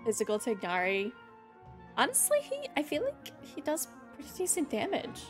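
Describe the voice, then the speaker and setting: A woman's voice: sits high, wildly swooping pitch, normal speed. A woman giving casual reaction commentary as she streams a game. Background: game music.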